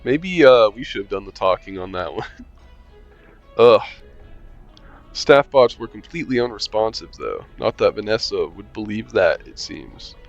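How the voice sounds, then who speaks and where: A man's voice: lowish voice, lively intonation, measured pace, creaky, highs cut off, soft background music. Casual young man reading a game aloud. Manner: casual, joking asides, hums to himself. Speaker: gaming channel host. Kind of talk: reading a visual novel aloud with commentary.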